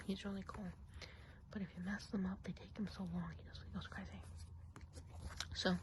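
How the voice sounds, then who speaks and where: A woman voicing a chatty, gossipy witch of the woods in an ASMR roleplay, speaking in a soft breathy whisper and confiding in the listener. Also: mid-range voice, level tone, fairly quick, background noise, lightly acted. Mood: fond, musing. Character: chatty gossipy woodland witch planning enchanted festival.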